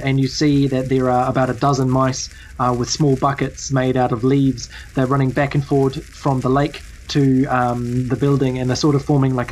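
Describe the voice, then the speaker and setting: A man's voice: medium pitch, a little hiss or hum, low-quality recording. A man narrating a tabletop game as game master, describing scenes in a hesitant, improvised way.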